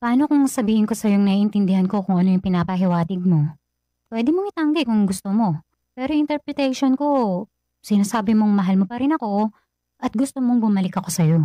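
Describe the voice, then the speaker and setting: A woman's voice: medium pitch, expressive, brisk, warm tone, no echo, lightly acted. An actress playing a pregnant young bride-to-be who questions her ex-boyfriend earnestly in a radio drama. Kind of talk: Filipino radio drama acting.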